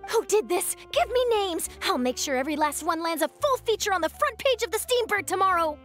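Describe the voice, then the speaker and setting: A woman playing an excitable, fiercely loyal young female reporter in a video game. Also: very high-pitched, brisk, faint music behind. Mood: outraged. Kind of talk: English video game voice acting.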